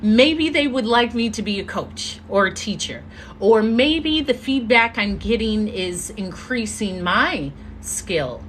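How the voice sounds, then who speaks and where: A woman giving an earnest motivational talk as a live stream, telling her story with drawn-out pauses. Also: on the high side, animated, fairly bright tone, a little hiss or hum. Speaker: motivational speaker. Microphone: phone or webcam mic.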